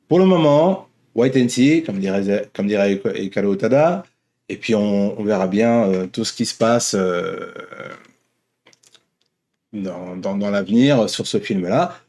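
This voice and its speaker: A man's voice: on the low side, animated, measured pace, warm tone. Hesitant, rambling man giving casual opinions. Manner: hesitant, rambling, often repeats and rephrases words. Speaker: host of an online opinion vlog. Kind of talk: casual unscripted opinion monologue.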